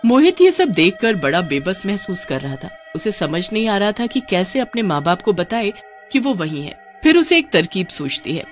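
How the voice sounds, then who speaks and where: Animated woman narrating a horror story. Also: on the low side. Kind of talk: dramatic scripted narration of a cartoon horror story.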